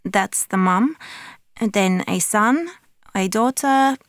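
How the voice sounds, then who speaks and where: A woman's voice: medium pitch, slow pace, no echo, studio quality. A woman from Ukraine in a paired speaking task, explaining things step by step.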